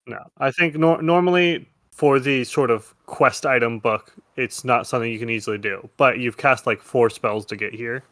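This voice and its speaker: A man's voice: mid-range voice, normal speed, few pauses, a bit bright, no room sound. A man acting as game master, narrating a tabletop role-playing game and talking its players through the rules.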